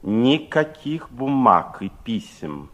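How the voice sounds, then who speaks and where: An actor playing an anxious, defiant middle-aged father and secret conspirator in a 1960s Soviet radio drama, speaking in a theatrical, deliberate manner. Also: medium pitch, very animated, fairly bright tone, dull audio, heavily acted. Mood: cold, defiant. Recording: 1960s radio play tape.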